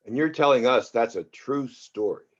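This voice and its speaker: A man acting a smooth, wealthy Hollywood producer in his twenties at a drama table read, conversational and self-assured, on slightly dull audio. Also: medium pitch, normal speed, natural voice.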